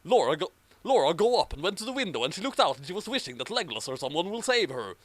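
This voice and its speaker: A man's voice: high voice, sing-song, fast talker, a bit bright, no echo. A man reading an amateur story aloud and adding mocking commentary. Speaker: reader and commentator.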